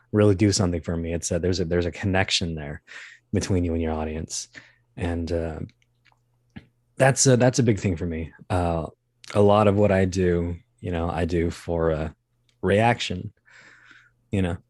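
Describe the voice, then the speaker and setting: A man with a lowish voice recorded dry and close, talking casually as a guest. He wanders through his anecdotes with wry, self-deprecating humor. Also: darkish tone, no echo. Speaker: interview guest, voice actor and performer. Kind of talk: casual chat, telling anecdotes.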